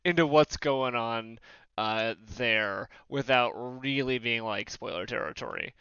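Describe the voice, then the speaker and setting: A man chatting casually as a podcast panelist, explaining things in a halting, rambling way over lo-fi sound. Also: mid-range voice, bright, forceful tone, clear, resonant tone, dry recording. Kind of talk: casual discussion of visual novels.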